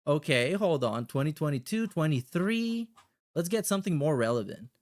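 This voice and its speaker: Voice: mid-range voice, big pitch swings, moderate pace. A livestream host chatting casually and reacting in a blunt, heavily profane way.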